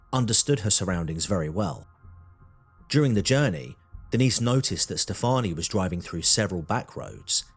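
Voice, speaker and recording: A man with a low voice and a husky edge narrates a scripted true crime story. He is measured and dramatic, telling it as a documentary host would, over faint music.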